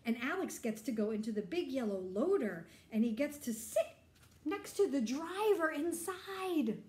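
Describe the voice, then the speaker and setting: A middle-aged woman reading a picture book aloud to children, explaining and asking simple questions in an animated way. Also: sits high, unhurried, echoey room. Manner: animated, explanatory, addressing young listeners. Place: bright indoor room.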